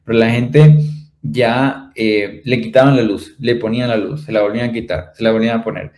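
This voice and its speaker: A man's voice: medium pitch, steady tone, fairly quick, a little mellow. A man with a Venezuelan accent giving an earnest, explanatory talk, often posing questions to his listeners. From Maracaibo, Venezuela. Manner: rhetorical questions with repeated tag phrases. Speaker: speaker on a spiritual talk show.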